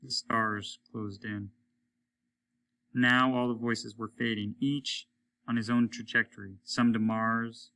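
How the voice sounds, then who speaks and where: A man reading a short story aloud as dramatic narration, measured and solemn. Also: lowish voice, sharp tone, slight background noise, low-quality recording. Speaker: audiobook reader, teacher.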